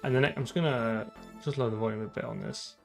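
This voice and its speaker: A man's voice: medium pitch, fast, creaky, faint music behind. A man chatting casually on a live coding stream, thinking aloud in an informal way. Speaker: streamer and game programmer.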